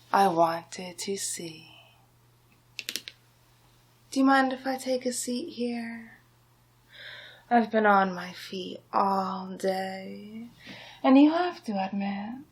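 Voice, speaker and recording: A woman playing a scheming, power-hungry royal vizier in an ASMR villain roleplay, speaking softly and deliberately with theatrical menace and often dropping into a whisper. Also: mid-range voice, full character voice. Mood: smug, falsely courteous. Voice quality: soft, breathy, half-whispered.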